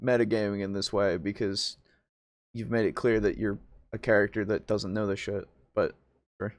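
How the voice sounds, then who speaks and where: Low-voiced man, dry close sound. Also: flat delivery, normal speed, no echo. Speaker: podcast co-host reacting to a video. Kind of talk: casual discussion and commentary.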